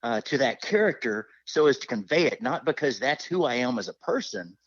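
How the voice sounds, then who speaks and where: A man's voice: mid-range voice, animated, brisk, dry recording. Rambling male interviewer, dull dry sound. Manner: rambling, affirming, often tags phrases with "right". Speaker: interviewer, co-host. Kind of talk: conversational interviewing.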